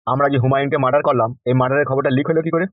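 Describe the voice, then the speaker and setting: A man's voice: mid-range voice, steady tone, racing, phone-quality sound, very noisy, close to an everyday voice. A man voicing a corrupt, lecherous, pompous politician and gang boss in a comedy cartoon. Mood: suspicious. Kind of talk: animated comedy cartoon dialogue.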